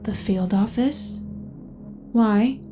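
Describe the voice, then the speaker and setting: An actress voicing a composed female AI companion who speaks and narrates in a measured, precise way in an audio drama. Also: on the high side. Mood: precise, helpful. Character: Evie, calm female AI companion and storyteller. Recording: music behind the voice.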